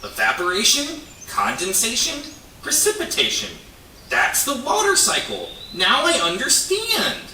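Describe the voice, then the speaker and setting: A man's voice: very high-pitched, huge pitch swings, a little slow, pushed and bright, heavily acted. A man voicing an eager young beaver in a children's puppet show, earnest and exaggerated. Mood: excited discovery. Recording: echoey, distant, faint noise.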